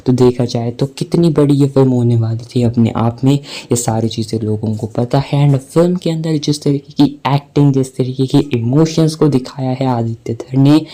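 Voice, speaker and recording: A man's voice: mid-range voice, warm tone. A man with an Indian accent giving a film breakdown commentary in a wordy explainer manner, mixing in English words. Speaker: movie commentary YouTuber.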